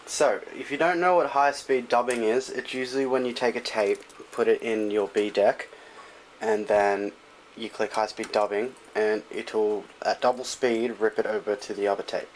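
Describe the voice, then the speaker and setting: A man's voice: on the low side, steady tone, moderate pace. A man giving a casual, hands-on tutorial in an offhand, loose and informal way. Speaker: tutorial presenter. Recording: noisy home recording with clicks.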